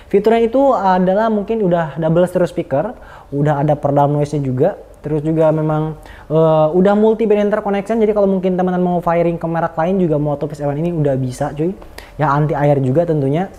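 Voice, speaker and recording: Mellow-voiced man, chatty reviewer. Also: a little high, fast, highs cut off. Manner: informal and slangy, chatty. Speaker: product reviewer. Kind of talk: casual product review, unscripted.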